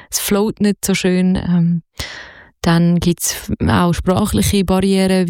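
A woman's voice: medium pitch, gravelly, dark tone, no room sound, studio quality. A young woman from Zurich speaking with a Zurich Swiss German accent, a singer-songwriter talking casually in an interview, hesitant with many fillers and restarts. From Zurich, Switzerland.

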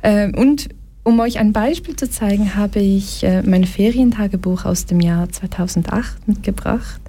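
A young woman from Brazil talks as a radio studio guest with a mellow, soft-edged voice. She speaks slowly and tells her story calmly and with measure. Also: medium pitch. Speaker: guest, association president. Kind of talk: radio interview.

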